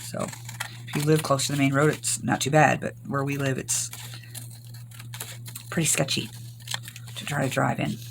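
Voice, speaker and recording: An older woman from Arkansas, hoarse from recent illness, chatting through a rambling crafting tutorial. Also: extremely low pitch, level tone, normal speed, pauses often, background noise. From Arkansas, US South. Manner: rambling, homey, many ums. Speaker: craft channel host.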